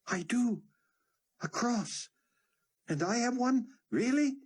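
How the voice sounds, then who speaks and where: A man voicing a grown man overwhelmed with emotion, crying out high and excited. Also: takes it slowly, husky, exaggerated voice. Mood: exultant.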